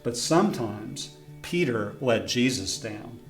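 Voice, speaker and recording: A friendly man reads a children's story in an even, middle-pitched voice and pauses to put questions to young listeners. The room around him is roomy and echoey. A faint guitar plays behind him. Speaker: professor reading his own children's book. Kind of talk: reading a children's story aloud.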